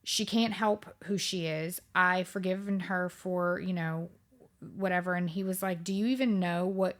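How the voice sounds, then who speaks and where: A woman's voice: lowish voice, steady tone, moderate pace. A woman in her thirties hosting a podcast, talking reflectively in casual chat with many fillers and restarts. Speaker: podcast host.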